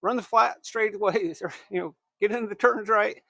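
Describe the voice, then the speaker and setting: A man's voice: sits high, normal speed, gravelly, pushed and bright, no echo. A man hosting a solo fantasy racing picks show, talking in a conversational, emphatic way that corrects itself, his throat a little scratchy.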